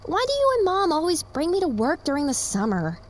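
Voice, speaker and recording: A woman's voice: high voice, huge pitch swings, fairly quick. A woman playing a young female pony in an audio drama, self-conscious, wry and a little sulky. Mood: complaining. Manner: wry, grumbling.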